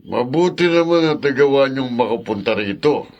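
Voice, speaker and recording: An actor playing a wayward, hard-drinking older uncle in a radio drama. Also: male voice, mid-range voice, expressive, moderate pace, darkish tone, lo-fi sound, lightly acted. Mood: sober, somber.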